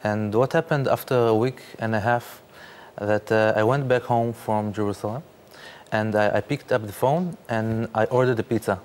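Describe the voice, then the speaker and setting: A man, speaking haltingly with many fillers as a guest in a studio interview. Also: fairly low pitch, steady tone, darkish tone, a little hiss or hum. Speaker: interview guest, startup founder.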